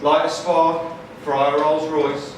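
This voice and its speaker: A man's voice: on the high side, level tone, slow. A man voicing a grumpy, pompous old dragon in a live puppet show, indignant and chatty. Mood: pompous grumbling. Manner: indignant, pompous, chatty British grandpa phrasing. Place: large room, live audience puppet show. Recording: echoey hall, far from mic, noisy.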